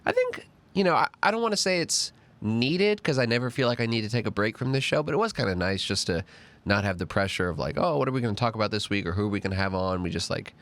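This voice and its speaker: A man hosting a casual podcast chat, congested from a cold and sounding nasal, talking in an animated way. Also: fast talker. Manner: animated and talkative, pitch jumping up on emphasis. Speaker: podcast host.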